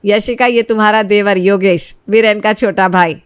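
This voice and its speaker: A woman's voice: medium pitch, fairly even intonation, moderate pace, smooth, no echo, studio quality, natural voice. A woman voicing a welcoming middle-aged mother-in-law in a cartoon family story. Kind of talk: cartoon moral-story dialogue.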